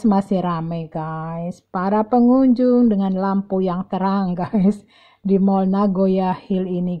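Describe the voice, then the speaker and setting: A woman's voice: mid-range voice, muffled recording. A middle-aged woman giving a chatty, casual walk-and-talk shopping vlog, addressing viewers directly and often repeating words. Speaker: vlogger. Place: large indoor shopping mall.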